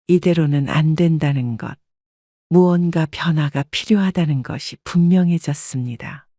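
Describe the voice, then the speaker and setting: A woman narrating a first-person family story in a measured, subdued reading. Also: low-pitched, warm tone, no echo, clean studio sound.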